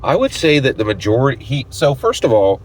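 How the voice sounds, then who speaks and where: A man's voice: mid-range voice, very animated, brisk, slight background noise, low-quality recording. A man giving unscripted opinion commentary in an emphatic way, repeating phrases and checking his points with short questions. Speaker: tabletop gaming commentator.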